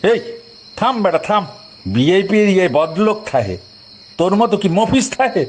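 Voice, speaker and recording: Man acting a pompous high-voiced Barisal policeman. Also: sing-song, moderate pace, slight background noise. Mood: hectoring, scornful. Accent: Barisal regional Bangladeshi. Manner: hectoring, sprinkles in English words. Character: pompous bullying policeman, officious and impatient. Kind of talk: audio drama acting.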